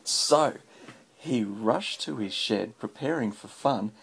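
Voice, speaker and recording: Slow-paced dad reading a picture book aloud. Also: male voice, mid-range voice, expressive, creaky, fairly bright tone, breathy edge, rough recording. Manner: encouraging, prompting with questions. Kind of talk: read-aloud storytelling with casual chat to a child. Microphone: handheld phone. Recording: faint background noise, home phone recording.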